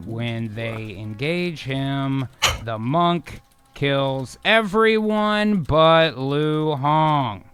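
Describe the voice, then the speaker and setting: A man's voice: medium pitch, animated, drawn-out words, a bit bright, clean tone, dry recording. A man hosting and narrating an improvised radio drama, moving between dramatic narration and casual chat. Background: music.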